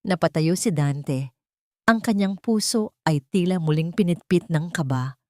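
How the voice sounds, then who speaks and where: A woman's voice: on the low side, a little slow, mellow, dry recording, clean studio sound. A woman with a Filipino accent narrating a dramatic audiobook story from a script.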